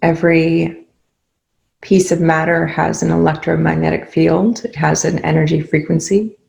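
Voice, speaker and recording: Low, even-voiced woman guiding meditation. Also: gravelly, low-quality recording. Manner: calm, unhurried, with long pauses. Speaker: meditation guide. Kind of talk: guided meditation, spoken softly to listeners.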